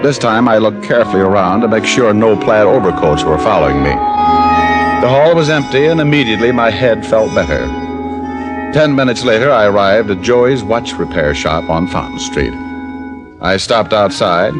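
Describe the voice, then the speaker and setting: Hard-boiled detective narrator, played by a man; low, animated, dry wit, 1950s radio. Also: moderate pace, over music, lightly acted. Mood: wry. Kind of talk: 1950s radio drama acting.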